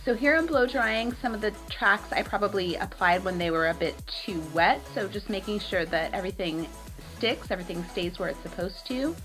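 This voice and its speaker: A woman talks through a hair tutorial in a relaxed, chatty voice-over, her voice a little raspy and tired from a cold. She sits at an ordinary middle pitch. Music plays clearly beneath her. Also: moderate pace, hardly any pauses. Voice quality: slightly raspy. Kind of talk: casual voice-over tutorial narration. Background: background music.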